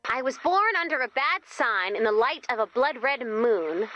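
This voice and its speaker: An actress playing a young, perky camp leader in a video game, chatty, expressive and wryly exasperated. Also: female voice, a little high, normal speed, dry recording, highs cut off, soft background music. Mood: wry, incredulous. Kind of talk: video game character voice lines.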